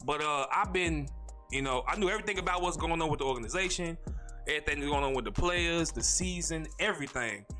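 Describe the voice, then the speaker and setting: Man, stutter, bright tone, faint music behind. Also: fairly high pitch. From North Carolina, USA. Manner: rambling, slangy, fast talker with a stutter. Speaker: podcast host. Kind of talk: casual solo podcast monologue.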